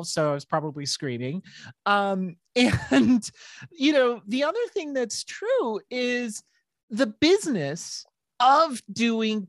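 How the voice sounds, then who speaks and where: A middle-aged woman hosting a podcast, talking in an animated, rambling way with laughs and restarts. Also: medium pitch, no room sound, clean studio sound. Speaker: podcast host. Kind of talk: casual podcast chat.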